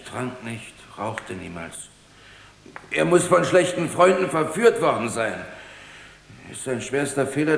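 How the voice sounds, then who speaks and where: Shaken, humble gendarme father, gravelly. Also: on the low side. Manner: halting, deferential. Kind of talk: radio drama acting. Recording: echoey courtroom-like hall with long reverb.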